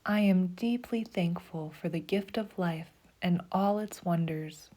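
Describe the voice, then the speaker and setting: A woman guides a meditation in a low, mellow voice. She speaks slowly and soothingly, often sinking into a breathy half-whisper. Her tone stays dark and warm throughout. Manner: slow, soothing, even pacing.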